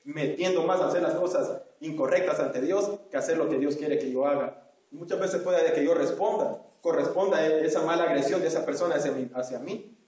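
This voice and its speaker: A man's voice: a little high, a little fast, a little mellow. A man from El Salvador with a Salvadoran Spanish accent, teaching an earnest Sunday school lesson and repeating himself as he addresses his brothers. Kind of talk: sermon. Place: church hall. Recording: very echoey hall, voice from far off.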